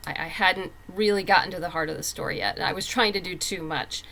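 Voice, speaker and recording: A woman's voice: lowish voice, fairly even intonation, fast talker, vocal fry, sharp tone, a little hiss or hum, poor audio. A woman speaking as a writer guest in a casual interview, candid, emphatic and plain-spoken.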